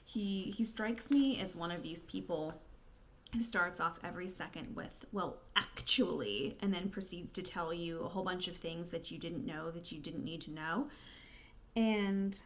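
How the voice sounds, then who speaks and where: A woman's voice: fairly low pitch, normal speed. A woman casually recapping and reading along with a book, talking in a conversational way with wry asides. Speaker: read-along host.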